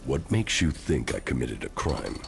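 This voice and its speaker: A man playing a stoic, hardened commander in a post-apocalyptic video game, gravelly, terse and deadpan. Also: rumbling low, normal speed, exaggerated voice.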